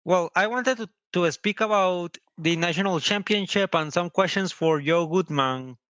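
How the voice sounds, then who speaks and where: A man's voice: high voice, normal speed, a bit bright, dry recording. A man with a Costa Rican accent, calling in as a listener and casually asking questions. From Costa Rica. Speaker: audience caller.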